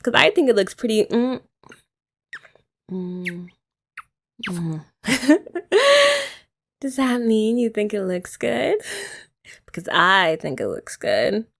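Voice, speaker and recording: A young woman doing a soft, teasing, affectionate ASMR girlfriend roleplay, often laughing, close to the mic. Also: fairly high pitch, huge pitch swings, slow pace, vocal fry, pauses often.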